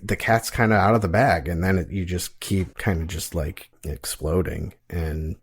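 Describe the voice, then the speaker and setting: Man in his 40s, really low voice, self-deprecating podcast chat. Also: fairly even intonation, normal speed, husky. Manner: candid, self-deprecating, laughs at himself. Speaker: podcast co-host. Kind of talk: casual reflective conversation.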